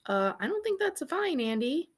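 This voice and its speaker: Casting: excitable storybook boy, played by a woman reader, bossy, easily exasperated, raised pitch, urgent exclamations. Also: moderate pace.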